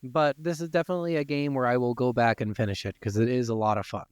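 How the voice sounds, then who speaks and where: A man's voice: medium pitch, steady tone, fast, smooth, no room sound, studio quality. A man co-hosting a gaming podcast, talking casually and bluntly, with swearing. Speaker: podcast co-host.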